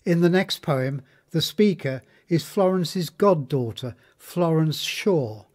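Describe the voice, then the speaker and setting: Formal male narrator, slightly high voice. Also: darkish tone. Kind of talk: scripted biographical narration.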